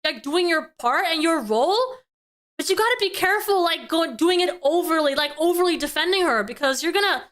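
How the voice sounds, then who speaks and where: A woman's voice: extremely high pitch, expressive, brisk, a bit bright. A woman giving casual live reaction commentary as a streamer, emphatic and exasperated in her opinions.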